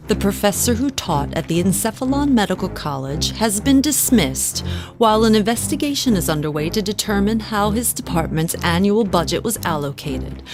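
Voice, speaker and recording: A woman playing a composed young widow in an audio drama, telling her story in measured, formal, confiding words. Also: mid-range voice, lightly acted. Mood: composed, wary. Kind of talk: audio drama first-person narration. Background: music.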